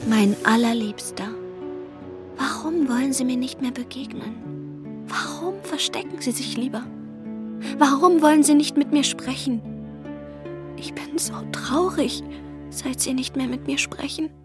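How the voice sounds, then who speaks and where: A woman's voice: high-pitched, unhurried, pauses often, over music. An actress playing a lovelorn, sad young woman voicing a pleading letter in an audio drama.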